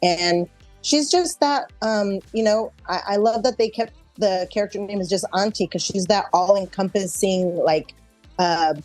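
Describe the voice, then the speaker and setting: A woman's voice: medium pitch, unhurried, darkish tone, no echo. Middle-aged woman, actor in an interview, reflective casual talk, dry sound, faint music behind.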